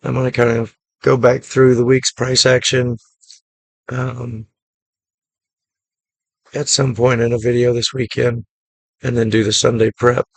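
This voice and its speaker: A man with a hoarse, gravelly voice from a cough, recapping a day trade in a relaxed, matter-of-fact way and repeating his points. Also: medium pitch, unhurried, long pauses, no echo. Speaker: day trading channel host. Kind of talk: casual screen-share trade recap.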